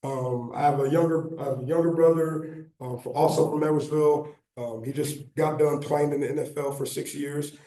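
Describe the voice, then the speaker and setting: American guy in his 30s, slightly raspy coach voice, bit high and mellow, talking off the cuff in a really echoey room. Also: fairly even intonation, moderate pace, few pauses. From Illinois, United States. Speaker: guest speaker, football coach. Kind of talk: informal motivational club talk. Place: echoey indoor meeting room. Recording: very echoey room, distant mic.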